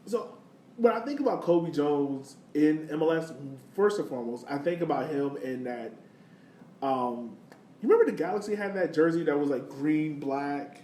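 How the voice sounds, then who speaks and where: A thirty-year-old man with a fairly high voice talks sport casually as a podcast host, full of slang and enthusiasm. He sounds a bit away from the mic in a very roomy space, over faint noise. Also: normal speed, dark tone, distant mic.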